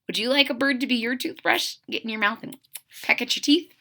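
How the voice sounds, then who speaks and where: A woman reading a children's storybook aloud as a kindergarten teacher, with expressive, explanatory asides to young listeners. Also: fairly high pitch, fairly quick, creaky, slight background noise.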